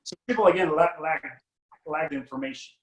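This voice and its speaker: A man from Lithuania speaks as a guest coach, his voice sitting somewhat high for a man. He rambles, circling back through fillers and restarts. The room around him is noticeably echoey. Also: brisk, fairly bright tone, slight background noise. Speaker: guest money mindset coach. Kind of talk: casual interview talk.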